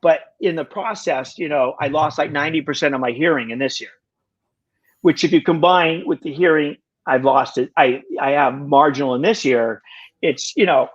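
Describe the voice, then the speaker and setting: Husky 60ish guy on a muffled lo-fi call, kinda high voice, keeps restarting his sentences, jokey about himself. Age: 60s. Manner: halting, full of restarts, wry self-deprecating humor. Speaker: podcast guest. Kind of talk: casual conversation.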